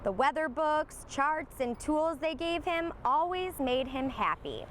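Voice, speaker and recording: A woman reading a children's storybook aloud in an expressive storytelling manner. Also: high voice, measured pace, a little hiss or hum. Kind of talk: scripted storybook narration for young children.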